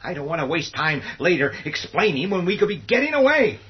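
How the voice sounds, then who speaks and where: An actor playing a selfish, abrasive family man, raspy and complaining in agitation, in a horror radio play. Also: sits high, sing-song, fairly quick, heavily acted. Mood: panicky, impatient. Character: panicky, selfish, abrasive husband and father. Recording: dull, muffled audio.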